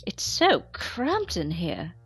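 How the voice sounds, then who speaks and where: Casting: actress as whiny young boy band member, lowish animated voice, unhurried. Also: slightly rough, soft background music, exaggerated voice. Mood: grumbling. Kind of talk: audio drama acting.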